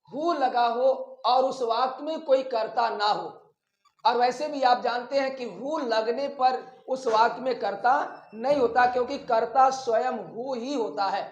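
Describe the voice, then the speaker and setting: A man teaching a grammar lesson step by step, deliberate and instructive, as if dictating piece by piece. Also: very high-pitched. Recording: echoey, roomy sound.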